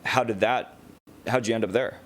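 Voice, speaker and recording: A man's voice: on the low side, fairly even intonation, moderate pace. A young American man hosting a casual conversational interview, informal and affirming with his guest. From Hawaii, United States. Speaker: podcast host, interviewer.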